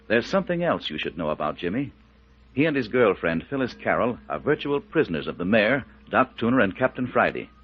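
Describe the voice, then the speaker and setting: A man narrating a radio mystery serial as announcer, in a suspenseful, measured and emphatic dramatic style. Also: on the low side, big pitch swings. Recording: muffled, husky old radio recording.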